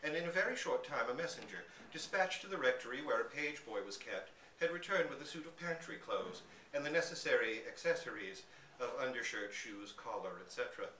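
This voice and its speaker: A man giving an expressive live dramatic reading of a literary short story. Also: mid-range voice, fairly quick. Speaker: story reader. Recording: echoey room, distant mic, background noise.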